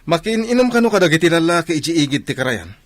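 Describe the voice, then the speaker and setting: A man playing an indignant, gossiping village man with an Ilocano accent, hurried and disapproving, in radio drama style. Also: fairly high pitch, sing-song, soft background music. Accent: Filipino, Ilocano.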